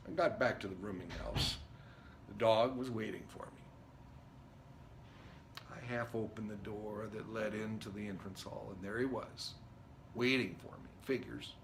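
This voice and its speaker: A man performing a dramatic stage monologue as an intense, rambling drifter, speaking in emphatic broken phrases with pauses. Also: on the low side. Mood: suspenseful, deliberate. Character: Jerry, intense rambling drifter confiding a story. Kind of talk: theatrical dramatic monologue reading. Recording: noticeable background noise.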